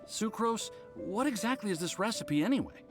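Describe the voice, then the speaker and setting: A self-doubting young male apprentice from an anime game. He sounds hesitant and flustered, with very lively intonation. Quiet music runs behind him. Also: sits high, unhurried. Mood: resigned. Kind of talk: anime video game dialogue.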